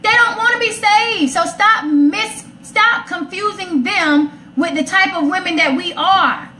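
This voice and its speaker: A woman's voice: extremely high pitch, unhurried, sharp tone. An American woman chatting casually and emphatically, repeating phrases to drive her point home. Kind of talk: animated casual chat. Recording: roomy echo, faint background noise.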